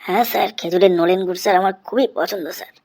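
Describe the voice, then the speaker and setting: A woman's voice: mid-range voice, racing, creaky, a little mellow. A woman voicing an eager, cheeky, long-winded schoolboy in a comedy cartoon, speaking earnestly and respectfully. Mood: earnest reciting. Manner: deferential, earnest, rambling classroom recitation. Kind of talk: Bengali comedy cartoon voice acting, recitation.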